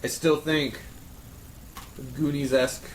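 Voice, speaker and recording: A man chatting casually with friends as a podcast panelist, slangy and quick to swear. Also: fairly high pitch, little pitch movement. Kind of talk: casual round-table chat among friends. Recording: roomy echo, faint background noise.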